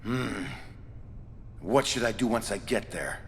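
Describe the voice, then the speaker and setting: A man's voice: on the low side, slow, gravelly, long reverb tail, a little hiss or hum. A man playing a tough, foul-mouthed video game action hero with an American accent, blunt and confrontational in manner. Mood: grudging. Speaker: game voice actor. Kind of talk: video game cutscene acting.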